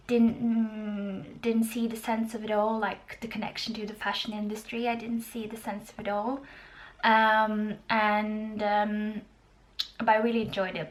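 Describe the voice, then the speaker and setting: A woman, giving a casual, informative vlog in a halting way with drawn-out pauses. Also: mid-range voice. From Norway. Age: 20s. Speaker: student video blogger.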